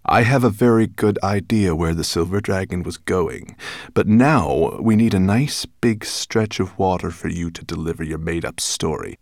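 A man's voice: sits low, moderate pace, no room sound, studio quality. A man voicing a huge, bad-tempered monster, imperious and menacing, with a snarling growl. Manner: imperious, sarcastic, explosive. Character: huge, tyrannical male dragon-like monster, furious, menacing, contemptuous.

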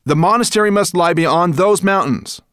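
A man voicing an excitable, curious young boy with a friendly temper in an audiobook. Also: lowish voice, fast talker, gravelly, no echo, clean studio sound, full character voice. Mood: excited. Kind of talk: audiobook character dialogue.

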